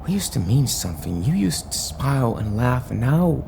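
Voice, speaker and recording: A man's voice: mid-range voice, big pitch swings, moderate pace, creaky, background noise. A man playing a frustrated, idealistic younger hero in an audio drama, earnest and confrontational. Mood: hurt, frustrated.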